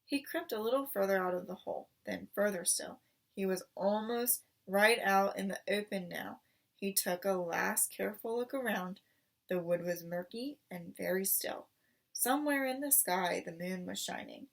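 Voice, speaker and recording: A woman reading a children's story aloud in expressive narration, recorded at some distance from the microphone. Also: mid-range voice, moderate pace, creaky. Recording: voice distant from the mic.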